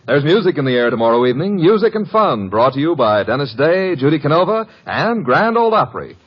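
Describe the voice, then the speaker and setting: A man's voice: fairly high pitch, very animated, a little fast. A man reading scripted credits and promotions as a polished announcer in old-time American network radio style. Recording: muffled old radio broadcast with music.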